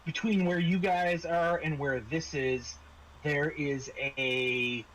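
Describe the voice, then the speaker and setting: Male game master, fairly high voice, halting improvised narration, faint background noise. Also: fairly even intonation, a bit bright, low-quality recording.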